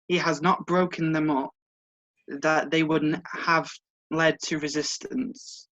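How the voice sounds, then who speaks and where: A performer reading the part of a wise old master calmly instructing a pupil in a story. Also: medium pitch, dull audio. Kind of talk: read-aloud story dialogue.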